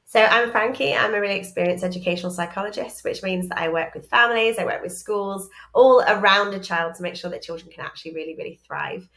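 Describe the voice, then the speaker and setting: A woman's voice: mid-range voice, fast, hardly any pauses, fairly bright tone. A woman presenting an informal course introduction, explaining things piece by piece with hesitant asides. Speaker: educational psychologist and course presenter.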